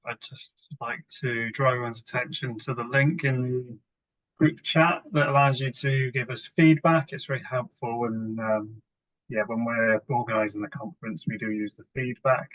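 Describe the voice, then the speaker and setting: A man's voice: medium pitch, fairly bright tone, dry recording, muffled recording. A man moderates a webinar session, speaking politely and hesitantly with "um" fillers as he invites questions. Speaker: conference moderator. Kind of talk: hosting a webinar Q&A.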